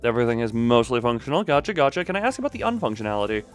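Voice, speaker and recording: A man chats casually as a game streamer, with a lively, animated pitch. He is playful and jokey, rambling as he answers his viewers. Faint music plays behind his voice. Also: racing. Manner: playful, jokey, talking back to chat.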